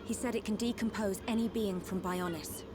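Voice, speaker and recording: Thoughtful young princess, acted by a woman, poised, mid-pitched, noisy game ambience. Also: steady tone, moderate pace, natural voice. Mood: reflective. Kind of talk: video game cutscene acting.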